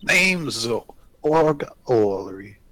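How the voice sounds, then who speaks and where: Guy doing a sulky con artist lady dealer, slow and grudging, curt, from a game. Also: medium pitch, sing-song, fairly bright tone. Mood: sullen. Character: sullen, defiant female card dealer and con artist. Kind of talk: video game dialogue read aloud.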